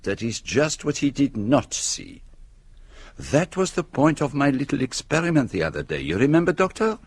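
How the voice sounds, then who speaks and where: An actor playing a shrewd, fussy middle-aged detective in a radio play, speaking with polite, precise and faintly teasing care. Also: male voice, mid-range voice, wildly swooping pitch, moderate pace, breathy edge, exaggerated voice. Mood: calm probing. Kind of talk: radio drama acting.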